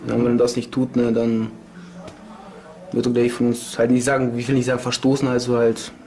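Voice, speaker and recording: Mellow-voiced young inmate, casual. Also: male voice, mid-range voice, monotone, fairly quick, slight background noise. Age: young adult. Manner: casual, tag questions, rambling. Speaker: inmate interviewee. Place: dark prison cell.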